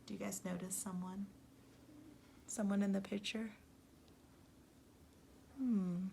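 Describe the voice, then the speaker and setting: A woman teacher reading a storybook aloud to young children, expressive and emphatic, speaking to them directly. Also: medium pitch, takes it slowly, creaky, a little hiss or hum.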